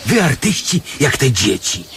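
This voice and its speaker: An actor playing a shrewd, condescending scholar fallen among beggars, with mock-learned speech, in a radio comedy drama. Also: male voice, medium pitch, big pitch swings, unhurried, background noise. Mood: conspiratorial. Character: shrewd male scholar-beggar, condescending, conspiratorial know-it-all.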